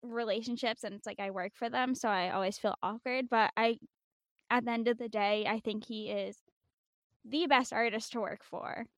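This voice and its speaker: Young woman, high squeaky voice, giggly and self-mocking podcast guest, dry close mic. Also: steady tone, moderate pace, a bit bright, dry recording. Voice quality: high-pitched young-sounding voice. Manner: chatty, giggly, self-deprecating. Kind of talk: casual podcast chat.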